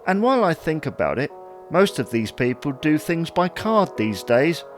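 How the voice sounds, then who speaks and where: A man's voice: on the high side, big pitch swings, moderate pace, soft background music. A man playing a teasing, sceptical husband in a comedy audio drama, wry and reasoning. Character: teasing, sceptical husband, cautious about money.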